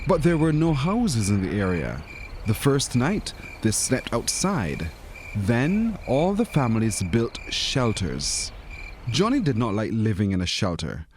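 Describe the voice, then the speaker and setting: A man narrates a children's story in a mid-pitched voice with animated, widely varied intonation. He reads expressively, as a storyteller does for young listeners. A faint layer of ambient noise sits behind him. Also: measured pace. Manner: expressive, acts out characters' lines. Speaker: story reader.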